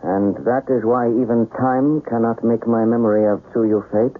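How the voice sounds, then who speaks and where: An actor playing a melancholy, lovesick young samurai's son in a 1970s radio ghost tale, speaking formally and languidly. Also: male voice, mid-range voice, level tone, normal speed, close to an everyday voice. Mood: weary, brooding. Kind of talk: 1970s radio drama acting. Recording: noisy, tinny 1970s radio broadcast.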